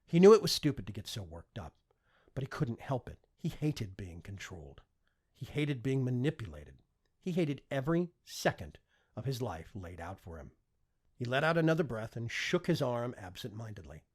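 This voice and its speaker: A man's voice: mid-range voice, big pitch swings, normal speed, slightly rough. A man narrating scripted fiction as an audiobook reader.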